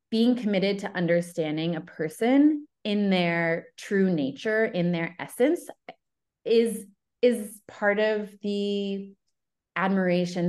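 A woman's voice: on the low side, darkish tone. A woman who works as a love coach, speaking as an interview guest in an earnest, enthusiastic, rambling way. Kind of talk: interview guest, casual conversation.

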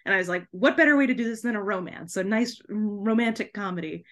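A woman with a medium-pitched voice chats as a guest in a casual interview. She is animated and talkative, breaking off and restarting her thoughts as she goes. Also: fast talker. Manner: chatty and animated, self-interrupting, often giggling while talking. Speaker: podcast guest, debut author.

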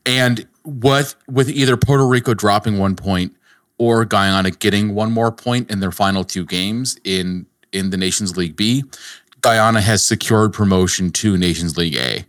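A young man co-hosts a sports podcast with a slightly low voice, full of jokes and teasing asides. The sound is close and dry, with no room around it. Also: normal speed, fairly bright tone, no echo. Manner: joking, teasing, does bits. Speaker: podcast co-host. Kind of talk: casual chat.

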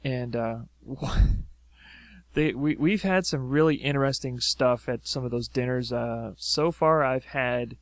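A man's voice: mid-range voice, measured pace, no echo. A man hosting a podcast, chatting casually and rambling with many fillers. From South Louisiana. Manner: rambling, filler-heavy storytelling. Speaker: podcast host. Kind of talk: casual chat.

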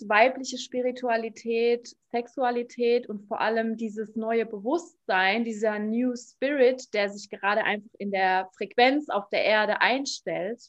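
A woman hosting a podcast, gently inviting her guest to talk about her life. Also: medium pitch. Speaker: podcast host. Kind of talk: podcast interview host.

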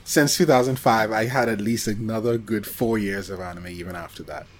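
Male podcast co-host, fairly low voice, loose conversational delivery with halting, searching pauses. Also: slight background noise. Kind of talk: casual chat about anime.

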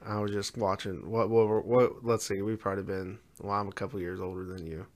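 A man's voice: lowish voice, flat delivery, fairly quick. A man in his late twenties co-hosting a podcast in casual, profane, laid-back chat. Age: 20s. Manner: laid-back, swears a lot, trails off. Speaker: podcast co-host.

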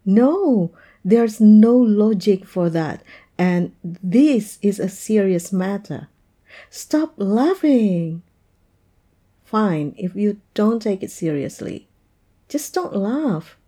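A woman's voice: medium pitch, lively intonation, warm tone. A woman acting an oversensitive young woman in an intimate audio roleplay, speaking to her partner in a hesitant, plaintive way. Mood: annoyed, pouting. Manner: hesitant, plaintive, halting delivery. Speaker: roleplay voice performer. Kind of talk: intimate audio roleplay, one-sided dialogue.

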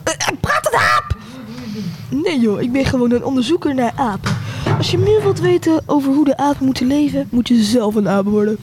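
A panicky, eccentric adventurer in an amateur radio play, played by a woman. The voice is extremely high and excitable, over clear clamour and vehicle noise. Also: brisk, crowd noise. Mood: eccentric, eager. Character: panicky, excitable crash survivor and eccentric ape researcher.

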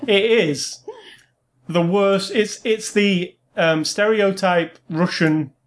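A man with a slightly high-pitched voice talks as the co-host of a film review. His delivery is casual and informal, and he states his opinions bluntly. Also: slow.

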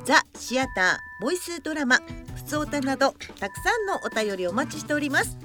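Lively high pitched radio cohost woman, agreeable, music underneath. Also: brisk. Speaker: radio show co-host. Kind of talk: casual radio chat. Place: studio.

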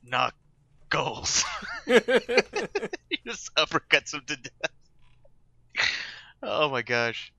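A man's voice: a little high, wildly swooping pitch, extremely slow, gravelly, pauses often, pushed and bright, no echo. A man co-hosting a casual movie review podcast, laughing often and swearing as he gushes. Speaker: podcast co-host.

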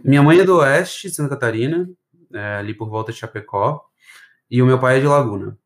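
A man's voice: medium pitch, fairly quick. A man from southern Brazil with a mild Brazilian southern accent, chatting casually as a podcast guest in a rambling, slangy way. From Florianópolis, southern Brazil. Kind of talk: casual chat.

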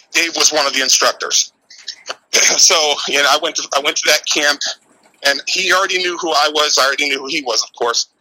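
A man's voice: fairly high pitch, sharp tone, poor audio. A middle-aged American man answering interview questions conversationally, rambling and repeating himself for emphasis. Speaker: interview guest, author and martial arts instructor.

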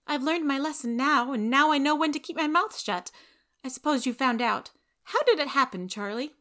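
A woman's voice: high-pitched, lively intonation, normal speed, bright, forceful tone, voice pushed up. A woman voicing a dramatic, indignant and impulsive young girl from a storybook, with exclamatory, emphatic delivery. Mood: relieved.

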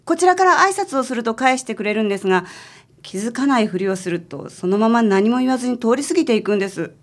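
A woman's voice: on the high side, expressive, fast. A woman playing a worried married client in a radio drama, speaking politely, hesitantly and apologetically. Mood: anxious recounting. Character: timid, worried married woman seeking detective's help.

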